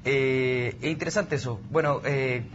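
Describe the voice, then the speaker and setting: A man's voice: medium pitch, unhurried. A man with a Chilean accent discussing ideas on a panel in academic wording, often checking with small tag questions. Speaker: youth panelist. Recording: dull sound with faint background noise.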